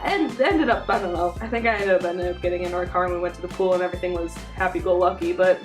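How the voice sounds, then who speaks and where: A woman's voice: lowish voice, fast, soft background music. A young American woman telling personal stories in a casual, chatty, emphatic way, with lots of "like" as filler. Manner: animated, emphatic, peppered with "like". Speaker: vlogger.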